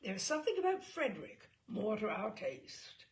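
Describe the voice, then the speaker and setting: A woman's voice: mid-range voice, very animated, normal speed. A woman voicing a bluff, hearty, chatty older male admiral in an audiobook, blustery and rambling with emphatic delivery. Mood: bluff, frank. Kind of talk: audiobook character dialogue.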